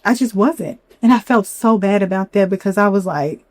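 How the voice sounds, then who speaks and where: A young woman hosting a solo podcast, a little hoarse from a cold, talking candidly with lots of "you know". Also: mid-range voice, moderate pace, a little mellow, no room sound. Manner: candid, chatty, many fillers and "you know". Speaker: podcast host. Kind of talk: reflective solo podcast talk.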